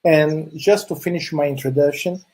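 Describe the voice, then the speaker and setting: A man's voice: on the high side, moderate pace, a little mellow, husky. A middle-aged man from Chile whose first language is Portuguese, speaking with a Brazilian Portuguese accent. He gives a friendly conference presentation with many filler sounds and restarts. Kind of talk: presentation talk.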